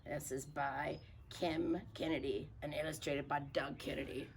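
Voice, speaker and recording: A woman's voice: low voice, fairly even intonation, moderate pace, a little hiss or hum. A woman reading a picture book aloud to children playfully, with folksy, chatty asides. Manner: folksy, informal grammar. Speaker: storybook reader for children.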